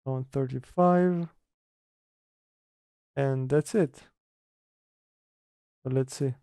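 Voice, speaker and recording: Slow, gravelly man talking through software steps. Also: medium pitch, no echo, clean studio sound. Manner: hesitant, thinking aloud while working. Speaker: tutorial presenter.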